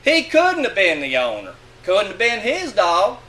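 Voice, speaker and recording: A man's voice: sits high, wildly swooping pitch, measured pace, pushed and bright. A man giving a dramatic, sardonic and emphatic reading of a literary monologue. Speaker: reader of a short story.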